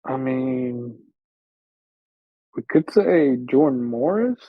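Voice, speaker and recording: A man's voice: mid-range voice, expressive, slow pace, no room sound. Casual man on a dull, dry mic. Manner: informal, slangy. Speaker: sports podcast co-host. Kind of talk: casual soccer chat.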